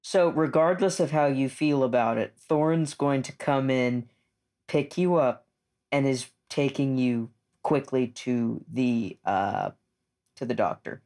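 Informal male roleplayer, mid-pitched. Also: takes it slowly, a little mellow. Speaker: tabletop game player. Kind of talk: casual roleplay narration.

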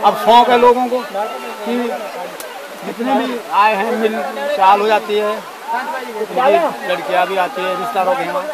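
A man's voice: high voice, measured pace, a loud crowd, poor audio. An older man, answering questions casually as a local villager outdoors. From Jaunsar, Uttarakhand, India.

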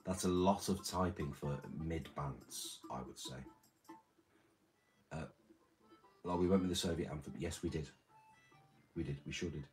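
Very deep man on stream. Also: a little slow, pauses often, a little mellow, soft background music. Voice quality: very deep, slightly damaged from singing. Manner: rambling, crude, jokey. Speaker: livestream host. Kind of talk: casual chat reading live chat. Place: small room with red curtain and red light.

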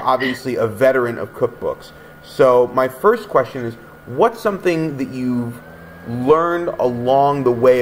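A man's voice: mid-range voice, slight background noise, low-quality recording. A man who owns a bookstore, interviewing an author over a video call with thoughtful, wordy questions. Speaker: bookstore owner hosting the event. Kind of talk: interviewing a guest.

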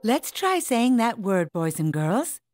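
Lively, kindly kindergarten teacher. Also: female voice, on the high side, takes it slowly, over music. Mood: encouraging. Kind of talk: children's cartoon voice acting.

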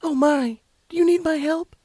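A man voicing a timid, sweet young female pegasus pony in a soft falsetto, hesitant and gently encouraging, for a story reading. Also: fairly even intonation, moderate pace, dry recording, studio quality. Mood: timid, concerned.

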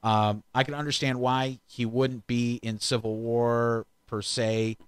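Relaxed man chatting, dry close sound. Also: mid-range voice, unhurried, clear, resonant tone, no echo. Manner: relaxed, rambling with restarts. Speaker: podcast co-host. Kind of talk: casual chat.

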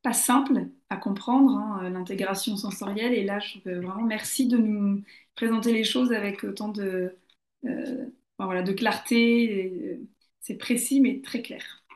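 A woman's voice: mid-range voice, steady tone, a little slow, a little mellow. A woman in her forties hosting a video call interview, speaking appreciatively with some hesitant fillers. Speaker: interview host. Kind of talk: casual interview chat. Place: bright living room. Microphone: laptop on video call. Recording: roomy video call audio.

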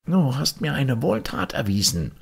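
A man's voice: mid-range voice, sing-song, unhurried, gravelly, slightly rough, voice pushed up, heavily acted. A man voicing a resentful, menacing well ghost in a storybook, grumbling and scolding. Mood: aggrieved, threatening.

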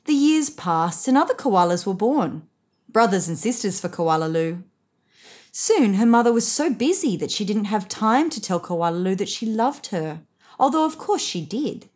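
Expressive woman reading a children's story. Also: medium pitch, moderate pace. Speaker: storybook reader. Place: indoors, seated on a sofa.

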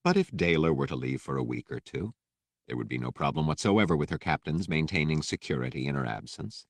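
Guy narrating an audiobook, super deep voice, dry close sound. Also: animated, a little fast, dry recording.

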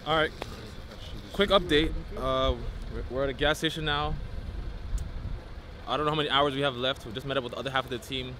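A man in his thirties, a basketball player, chatting casually to viewers in a travel vlog, giving quick updates and laughing often while he films himself at arm's length. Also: medium pitch, steady tone, background noise. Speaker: basketball player vlogging a team road trip. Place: moving between cars, a gas station and a hotel. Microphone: handheld camera at arm's length.

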